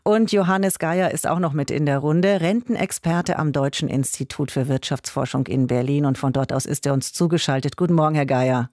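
A woman hosting a radio talk show, reading her introduction in a clear, measured way. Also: sits low, a little mellow, no echo, studio quality. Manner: clear, measured, reads numbers slowly. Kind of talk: scripted radio moderation. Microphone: studio microphone.

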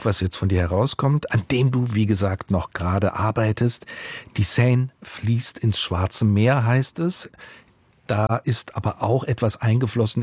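A male radio interviewer with a low, husky voice. He asks calm, curious questions about a new book. The sound is close and dry. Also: dry recording.

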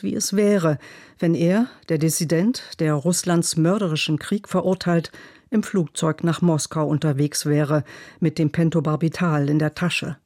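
Female radio critic, measured literary delivery, dry close studio sound. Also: lowish voice, a little mellow, no echo. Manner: measured, precise literary reading. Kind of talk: scripted radio book review. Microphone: studio microphone.

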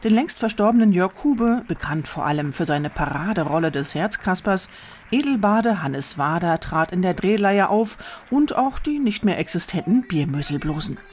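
A woman's voice: on the low side, expressive, normal speed, a little mellow, slight background noise. A woman reporter reading scripted narration for a radio feature.

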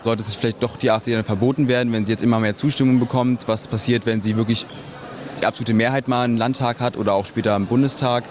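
Teen boy, student, quick worried questions, crowd behind. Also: medium pitch, steady tone, darkish tone. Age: teens.

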